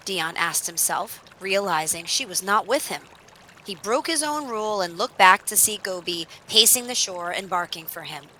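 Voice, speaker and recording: A woman narrating a children's story in an expressive read-aloud style, with dramatic emphasis on action words. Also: mid-range voice, moderate pace, noisy background.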